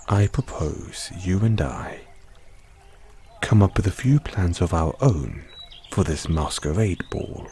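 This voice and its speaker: A man's voice: sits low, warm tone, slight background noise, full character voice. A man playing a devoted, formal butler with a painful past, speaking solemnly and deferentially in a scripted audio roleplay. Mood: gentle, earnest.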